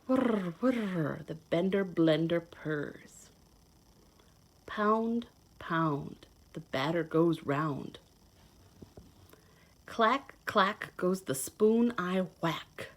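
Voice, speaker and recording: A woman reading a children's picture book aloud in a playful, expressive, sing-song way, adding simple asides to her young listeners. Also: on the low side, drawn-out words, pauses often. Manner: simple child-directed explaining. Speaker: children's read-aloud host, teacher.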